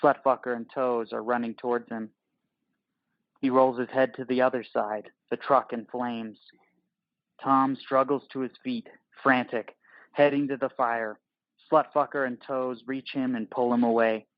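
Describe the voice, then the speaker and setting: A man's voice: mid-range voice, level tone, a little slow, no echo, highs cut off. A man reading scripted, terse action narration for an audio play.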